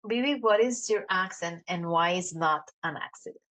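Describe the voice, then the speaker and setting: A woman from Colombia with a Colombian Spanish accent, a Spanish native speaker hosting an interview podcast with deliberate, simple phrasing. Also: fairly low pitch, fairly bright tone. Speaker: podcast host.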